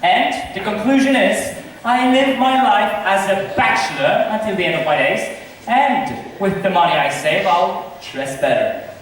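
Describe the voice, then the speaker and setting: A man's voice: sits high, unhurried, fairly bright tone. A man acting a witty, scornful confirmed bachelor in an amateur stage comedy, with a theatrical, declaiming manner. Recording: echoey hall, distant mic, noisy.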